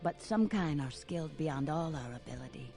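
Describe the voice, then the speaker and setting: An actress voicing a seductive, melancholic female vampire nightclub owner in a video game, with a breathy, teasing, lingering delivery. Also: deep voice, animated, moderate pace, music in the background. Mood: confiding, coy.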